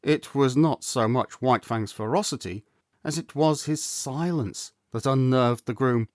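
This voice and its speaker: A man narrates a storybook with an actor's expressive, theatrical flair. His mid-pitched voice is measured and deliberate. The sound is dry, with very little room in it. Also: no echo. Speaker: audiobook reader, actor.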